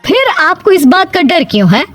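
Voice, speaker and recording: A woman's voice: very high-pitched, very animated, moderate pace, fairly bright tone, soft background music, pitched above the performer's own voice, full character voice. A woman voicing a chatty, inquisitive middle-aged neighbour with a coaxing, questioning manner in a cartoon story. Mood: questioning, reassuring.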